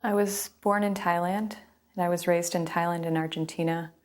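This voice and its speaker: Female interviewee from the US. Low voice, little melodic movement, thoughtful and articulate personal storytelling. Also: steady tone, normal speed. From United States, Western New York. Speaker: documentary interviewee.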